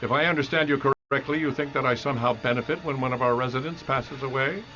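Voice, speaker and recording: Man doing shady menacing doctor, formal and self-justifying, middle pitch, radio drama with music behind. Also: fast, pushed and bright, close to an everyday voice, rough recording. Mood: coolly defensive. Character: smooth-talking villainous male care-home doctor, coolly menacing.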